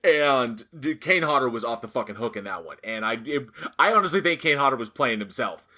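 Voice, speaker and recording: Man, bright tense voice, casual podcast chat, rambling, curses a lot. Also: medium pitch, expressive, a little fast. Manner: rambling, swears freely, laughs often. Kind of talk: casual chat about horror films.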